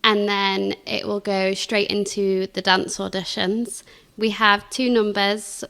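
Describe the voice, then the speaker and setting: Woman, dance teacher, gravelly, bright edge, brief matter-of-fact answers. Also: medium pitch, little pitch movement, slow. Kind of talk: casual interview answers.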